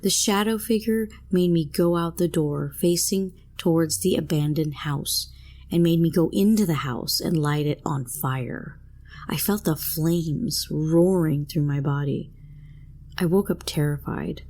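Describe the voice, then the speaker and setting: A woman's voice: sits low, a little slow, a little mellow. A woman reading a scripted first-person horror story as a narrator.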